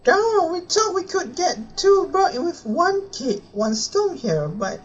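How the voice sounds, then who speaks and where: Girl streaming a game, slow talker but pitch jumps all over, blunt and sweary, dull mic. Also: big pitch swings. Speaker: live streamer playing a game. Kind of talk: casual live-stream game commentary. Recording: muffled stream mic, faint game music.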